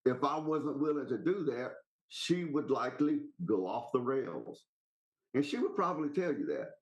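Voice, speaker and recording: A Southern-accented man who calls in to a podcast and holds forth in a casual, rambling way. His voice sits at an ordinary middle pitch. He talks bluntly, in plain folksy country phrases. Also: sing-song, moderate pace, muffled recording. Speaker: podcast caller. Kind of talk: casual opinionated chat.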